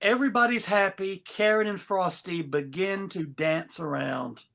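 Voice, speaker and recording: A man hosting a live script reading, narrating and bantering in a casual, animated way. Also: high voice, unhurried, creaky.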